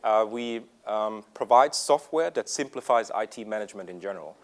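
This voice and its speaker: A man's voice: lowish voice, lively intonation, normal speed. A man from Germany with a German accent giving a conference presentation in English, with frequent ums and uhs. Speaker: conference speaker. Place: conference room.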